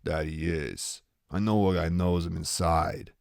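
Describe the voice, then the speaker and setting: A man voicing an irritable, scornful tough guy in an audiobook story, with gruff, slangy commands. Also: sits low, steady tone, unhurried, darkish tone, dry recording, clean studio sound. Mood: menacing.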